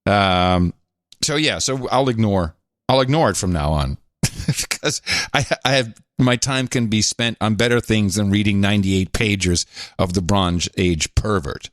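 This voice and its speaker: A man's voice: fairly low pitch, a little slow, no echo, studio quality. A man co-hosting a talk podcast, chatting casually, reading donor notes and joking around. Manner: animated, laughing, playful mock accents. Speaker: podcast co-host.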